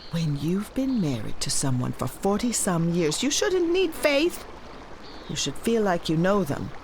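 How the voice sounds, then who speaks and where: An actress playing a wise, teasing old village grandmother in an audio drama, with a folksy, unhurried way of talking. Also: fairly low pitch, sing-song, slight background noise. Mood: wistful, dismissive. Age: older. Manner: folksy, unhurried, gently instructive.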